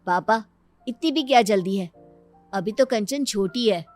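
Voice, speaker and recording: A woman's voice: medium pitch, unhurried, darkish tone, faint music behind, close to an everyday voice. A woman voicing an affectionate, protective young man, a brother in a cartoon moral story, earnest and caring.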